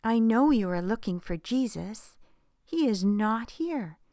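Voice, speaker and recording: A woman voicing a kind angel in a children's Bible storybook, a little higher and brighter than her narration, soothing and gentle. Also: lively intonation, a little slow, no room sound, clean studio sound.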